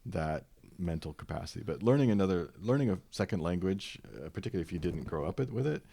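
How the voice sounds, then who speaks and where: Deep-voiced man with a smooth radio voice. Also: fast talker. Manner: relaxed, rambling storyteller with many restarts. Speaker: podcast guest. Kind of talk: casual chat. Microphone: podcast microphone.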